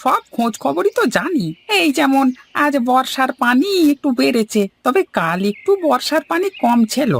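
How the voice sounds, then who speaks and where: A man's voice: very high-pitched, sing-song, normal speed, vocal fry, few pauses, fairly bright tone, full character voice. A man voicing an eager, simple, gossipy village tea seller in a cartoon. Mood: chatty, boastful. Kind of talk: cartoon dialogue acting.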